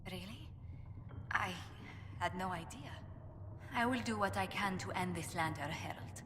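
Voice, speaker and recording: Game ambassador actress: tactful, considerate formality, modestly low pitch, long room reverb. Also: female voice, measured pace, background noise, close to an everyday voice. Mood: sympathetic. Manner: polite, formal, careful. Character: courteous, diplomatic, concerned female ambassador. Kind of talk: dramatic video game dialogue.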